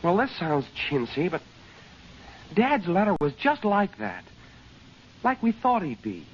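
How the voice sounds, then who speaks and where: An actor playing an earnest, sensitive young son in an old-time radio drama. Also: male voice, sits high, wildly swooping pitch, unhurried, full character voice. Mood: wistful. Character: earnest, sensitive young son worried about his father. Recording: muffled old radio recording, faint noise.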